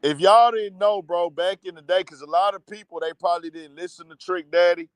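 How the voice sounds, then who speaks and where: A man's voice: high-pitched, a little fast, clean tone, no echo. A man hosting a casual livestream commentary show, ranting bluntly and profanely. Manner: blunt, profane, heated rant.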